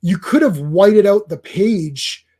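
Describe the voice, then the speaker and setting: High-voiced chatty man hosting a stream. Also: expressive, slow, dark tone, breathy edge. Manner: chatty, greets chat viewers by name. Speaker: host of a comics livestream. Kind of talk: casual livestream comic review.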